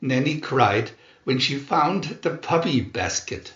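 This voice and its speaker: Slow, lively man reading a storybook. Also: mid-range voice. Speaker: storybook narrator. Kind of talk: scripted children's story reading. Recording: roomy, echoey, dull sound.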